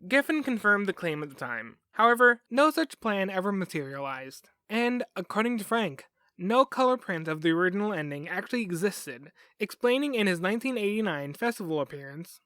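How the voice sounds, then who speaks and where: High-pitched, lively male podcast narrator. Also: brisk, no room sound. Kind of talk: scripted documentary narration.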